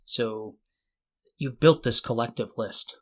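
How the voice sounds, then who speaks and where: A man in his forties with an average-pitched voice chats casually as a podcast co-host. He works through his thoughts out loud, in loose and somewhat hesitant phrases. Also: slow pace. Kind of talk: casual conversational chat.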